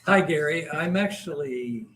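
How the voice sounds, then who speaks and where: A man's voice: a little high, animated, unhurried, a bit bright. A man playing a polite, everyday fellow at a comedy table read. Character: polite man looking for someone. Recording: echoey room, faint noise.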